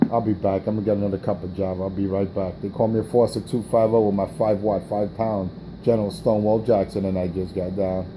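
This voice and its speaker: A man's voice: lowish voice, level tone, quick pace, few pauses, noisy background. Brash trash-talking man on CB radio. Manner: brash, taunting, profane, repeats himself. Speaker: CB radio operator hosting an on-air morning show.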